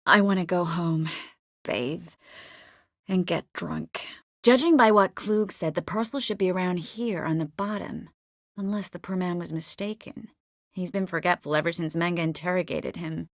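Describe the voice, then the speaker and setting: An actress playing a young sorceress in a video game, warm and clever, with a wry, quietly determined manner. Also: female voice, fairly low pitch, normal speed, dry recording, studio quality, lightly acted. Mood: matter-of-fact. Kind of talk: video game character dialogue.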